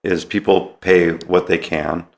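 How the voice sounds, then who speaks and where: A man's voice: low voice, fairly even intonation, unhurried, creaky. A man hosting a podcast, interviewing conversationally in a tentative way, rephrasing as he goes.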